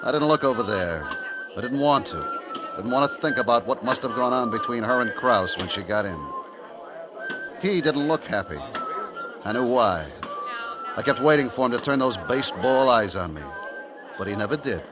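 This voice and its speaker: A man's voice: mid-range voice, huge pitch swings, normal speed, pauses often. An actor playing a nervous market clerk who tells his own story in a hesitant, colloquial, brooding way in a 1950s radio thriller. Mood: brooding recollection. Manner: hesitant, colloquial, brooding first-person telling. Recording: muffled 1950s radio recording, loud music.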